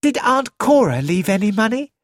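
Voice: medium pitch, very animated, normal speed, dry recording, voice pushed up, close to an everyday voice. A narrator voicing a flighty, childish young actress who exclaims eagerly about money, read in a close, dry studio recording.

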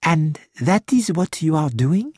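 A woman's voice: deep voice, sing-song, a little slow, a little mellow, breathy edge, dry recording, studio quality, heavily acted. A woman reading the part of a fussy elderly male detective with a French-flavoured manner, polite and precise. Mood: courteous.

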